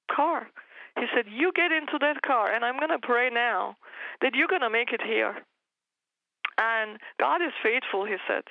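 A woman whose native language is Turkish tells her story as an interview guest, earnest and full of restarts. Her voice has a gravelly edge. The recording is dry and somewhat muffled. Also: a little high, dry recording. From Turkey. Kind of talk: personal testimony interview.

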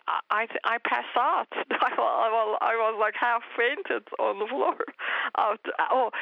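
Interview guest: woman, Turkish native speaker, earnest testimony, gravelly voice, dull lo-fi sound. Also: a little high, no echo. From Turkey. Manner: earnest storytelling with frequent restarts and laughs.

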